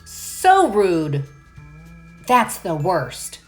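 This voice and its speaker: A woman voicing a fastidious, scheming male goblin in a children's storybook, with disdainful, drawn-out emphasis. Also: lowish voice, huge pitch swings, soft background music, exaggerated voice. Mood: disgusted.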